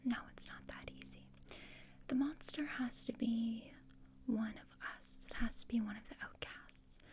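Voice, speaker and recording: A woman voicing a gloomy, sardonic teenage outcast girl in a whispered ASMR roleplay, speaking in a deadpan, haughty, breathy whisper. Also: fairly high pitch, a little slow, close to an everyday voice. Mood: deadpan, suspicious.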